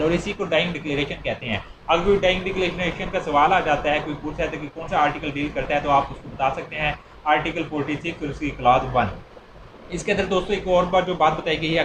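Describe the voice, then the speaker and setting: A man with a Pakistani accent giving an informal explanatory law lecture, repeating and rephrasing his points with examples. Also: a little high. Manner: repeats and rephrases points, mixing Urdu with English legal terms. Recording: noisy, echoey room.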